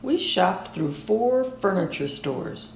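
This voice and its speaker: Female storybook reader, mid-pitched, slow and deliberate, echoey room. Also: very animated, gravelly, a little hiss or hum. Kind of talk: expressive read-aloud of a children's picture book.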